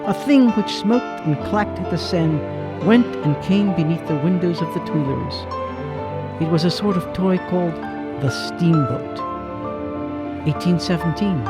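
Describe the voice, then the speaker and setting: A man narrating a radio drama in measured, expressive tones with dramatic pauses. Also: high-pitched. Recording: dry with faint music behind.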